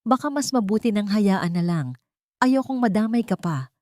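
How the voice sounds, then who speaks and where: A woman voicing a weary, ashamed elderly mother who pleads gently and hesitantly in an audio story. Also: medium pitch, lively intonation, normal speed, no room sound, studio quality, pitched above the performer's own voice, lightly acted. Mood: resigned, worried.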